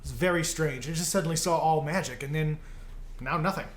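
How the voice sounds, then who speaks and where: A man's voice: on the high side, a little fast, slight background noise. A man playing a tabletop role-playing game, talking casually through what his character does. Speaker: player in a tabletop RPG. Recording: roomy echo, music underneath. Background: background music.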